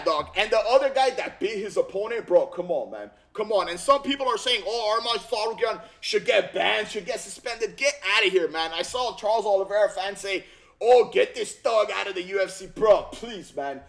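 A man's voice: high voice, normal speed, creaky. A man in his thirties, originally from Armenia, talking casually about fight news in a slangy, repetitive way from a home gaming room on a headset mic. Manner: animated, slangy, repeats himself, frequent "bro" and "okay?". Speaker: YouTube commentator. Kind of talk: casual reaction commentary.

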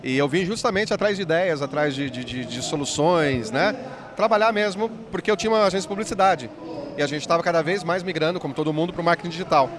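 A man's voice: on the high side, crowd noise. A man in his forties, an app company founder, explaining his product eagerly in an interview at a trade fair, speaking into a handheld mic. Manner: fast, enthusiastic explainer with many "né"s. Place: busy indoor expo hall.

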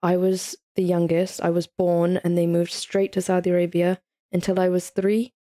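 A woman's voice: fairly low pitch, flat delivery, moderate pace, mellow. A twenty-two-year-old woman from England, a podcast guest chatting casually and laughing often.

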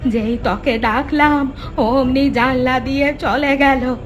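A woman's voice: fairly high pitch, fairly even intonation, drawn-out words, fairly bright tone, faint music behind. A woman voicing a frightened older village mother in a horror cartoon, wailing and pleading fearfully.

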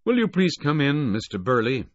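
A man voicing a middle-aged railway director who speaks in a formal, measured way. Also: low voice, very animated, no echo. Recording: dull lo-fi, dry close audio.

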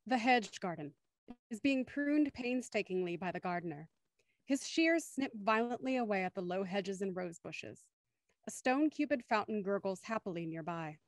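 A woman's voice: medium pitch, animated, normal speed, no echo, rough recording. A woman reading scripted scene-setting narration as the narrator of a radio mystery.

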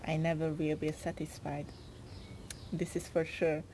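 A woman in her twenties with a Hungarian accent and Hungarian as her first language, answering interview questions in a soft-spoken, hesitant way with frequent pauses and fillers. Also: deep voice, flat delivery, a little hiss or hum. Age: 20s.

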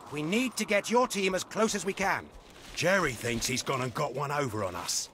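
Man playing a brisk, higher-voiced British wartime corporal. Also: noisy background, close to an everyday voice. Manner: brisk, slangy, combative. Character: brisk, slangy young British army corporal from World War Two. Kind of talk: video game cutscene acting. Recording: clear music over the voice.

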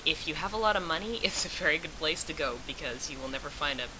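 A woman's voice: sits low, animated, fast talker. A young woman giving a casual vlog recap, speaking as if to friends from a room at home. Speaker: vlogger recounting a fan convention. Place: small room at home, indoors. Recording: noisy home recording with hiss.

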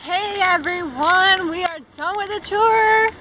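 A woman's voice: very high-pitched, slow pace, loud music behind the voice. A young woman co-hosting a casual travel vlog, bubbly and laid-back, filmed on a handheld camera. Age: young adult. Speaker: travel vlogger. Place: outdoors on city streets and in a car. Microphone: camera held at arm's length.

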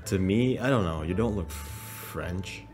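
A young man from Indonesia chatting playfully with strangers on a video call, in a low voice that people call a bit robotic. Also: unhurried, a little mellow, faint music behind. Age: young adult. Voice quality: low, slightly robotic-sounding voice. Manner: playful, laughs often, switches languages. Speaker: video chat host. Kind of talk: casual chat with strangers. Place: small room with shelves, indoors.

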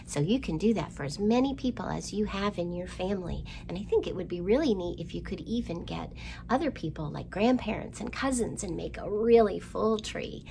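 Middle-aged female storytime host, very varied pitch, child-directed delivery, some clear background noise. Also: animated, fairly quick, mellow. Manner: simple child-directed talk with questions and exaggerated delivery. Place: indoor room, seen at a storytime backdrop.

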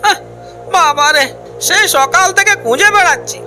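A narrator voicing a distressed male villager in an animated folk tale, wailing and repeating words as he frets. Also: very high-pitched, moderate pace, soft background music, pitched above the performer's own voice, exaggerated voice, poor audio. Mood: worried, wailing. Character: distressed male villager searching for lost calf, panting.

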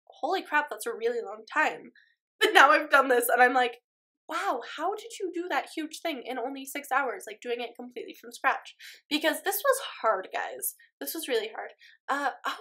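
A woman's voice: sits high, creaky, sharp tone. A young woman with a stuffy nose, chatting casually over her game footage in a rambling way, full of ums and likes. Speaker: Sims speed-build YouTuber.

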